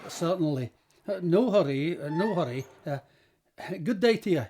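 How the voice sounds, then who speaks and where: A man playing a grave, courteous village doctor with a measured manner in a period audio drama. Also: on the high side, animated, dark tone, slight background noise, lightly acted. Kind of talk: period radio-play acting.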